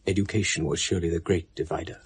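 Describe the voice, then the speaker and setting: Husky, deep-voiced male narrator, measured and literary, for a 1970s radio play. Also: steady tone. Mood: calm. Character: detached male narrator of a space drama.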